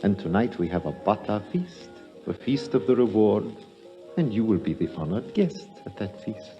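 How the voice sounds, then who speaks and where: An actor playing a calm, formal older temple priest in a 1950s radio drama, speaking in a measured, courteous way. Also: male voice, fairly low pitch. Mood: ceremonious. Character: elder priest of an Indian temple, calm, formal, enigmatic man. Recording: dull vintage 1950s radio recording, faint music.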